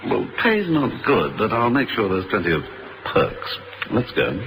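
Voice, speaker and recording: An actor with a British accent playing a suave, wry gentleman secret agent in a vintage radio drama, urbane and understated. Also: fairly low pitch, wildly swooping pitch, measured pace, over loud music. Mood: wry, assured. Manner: urbane, understated, dryly teasing. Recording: noisy vintage radio recording.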